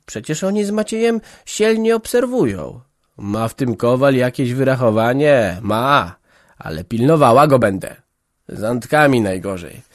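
A man's voice: mid-range voice, no room sound, studio quality, close to an everyday voice. A man voicing a stubborn, tearful young peasant woman who answers back defiantly. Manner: defiant, sulky retorts.

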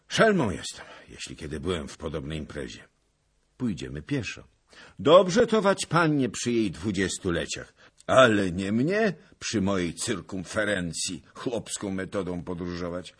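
A man's voice: deep voice, breathy edge, heavily acted. A man voicing a portly, boastful old nobleman who talks in a bombastic, theatrical, lamenting way in an audiobook.

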